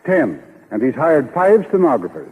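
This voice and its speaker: Exasperated office manager, highish raspy voice, 1930s radio acting, echoing old broadcast. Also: male voice, sing-song, moderate pace, warm tone, slight background noise. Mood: fretful. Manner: fretful, agitated. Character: anxious, exasperated male business manager. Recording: reverberant, muffled 1930s radio recording.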